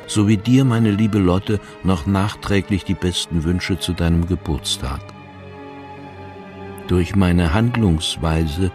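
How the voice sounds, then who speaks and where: Deep, grave condemned man. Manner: measured, grave, formal. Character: condemned man solemnly reading his farewell letter. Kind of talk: radio drama monologue.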